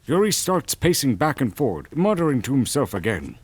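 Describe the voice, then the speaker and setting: A man's voice: mid-range voice, sing-song, a little fast. A man narrating a scripted story as a dramatic narrator. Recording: music behind the voice. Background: clear background music.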